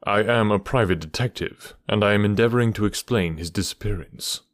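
A man's voice: on the low side, a little fast, no room sound, natural voice. A man voicing a cool, precise male detective with a dry, ironic manner in an audiobook. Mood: cool, measured. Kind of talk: audiobook character voice.

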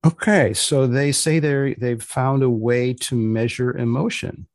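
A man hosting a podcast, introducing and asking questions in a deliberate, deadpan manner. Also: mid-range voice, dry recording, clean studio sound. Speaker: podcast host and magazine editor.

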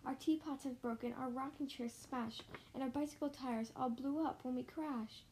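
A woman's voice: sits high, fairly even intonation, normal speed, a little mellow, a little hiss or hum, lightly acted. A woman voicing a tiny, worried male mayor who pleads earnestly in storybook rhyme. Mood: pleading.

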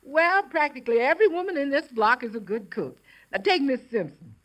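An actress playing a folksy, opinionated housekeeper in a 1940s radio commercial, speaking emphatically. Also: female voice, sits high, expressive, a little fast. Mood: cheerfully emphatic.

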